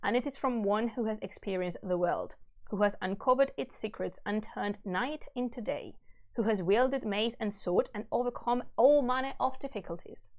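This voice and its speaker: A woman's voice: mid-range voice, moderate pace, a little mellow, lightly acted. A noble old king of an epic tale, as a woman reader puts him on. He is a grave, loving, anxious father who speaks with stately formality and earnest care. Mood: stately, pleading.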